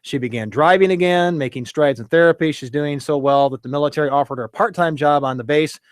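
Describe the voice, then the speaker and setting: A man's voice: on the high side, fast, smooth, dry recording, clean studio sound. A man hosting a local radio show, chatting casually and reading a story aloud with many fillers and restarts.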